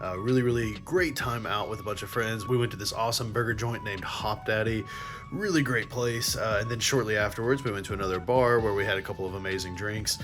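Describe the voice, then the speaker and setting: A man's voice: lowish voice, brisk, music in the background. A man talking casually and enthusiastically to viewers like a geeky fan vlogger, chatting through handheld virtual pet games.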